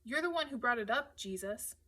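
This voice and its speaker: A woman's voice: medium pitch, lively intonation, moderate pace, close to an everyday voice. Woman playing cheeky, teasing adolescent boy. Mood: annoyed. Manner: cheeky, blunt. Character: joking, teasing, easily riled adolescent boy.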